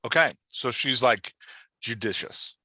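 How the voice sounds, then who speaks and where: A man with a bright, forceful voice talks someone through their work like a hands-on coach. His delivery is casual but direct, and he keeps checking for agreement. The sound is close and dry, with very little room. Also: mid-range voice, unhurried, husky, no echo. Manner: direct, instructive, often checks understanding. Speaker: podcast host coaching an author on dialogue. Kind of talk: casual one-on-one coaching talk.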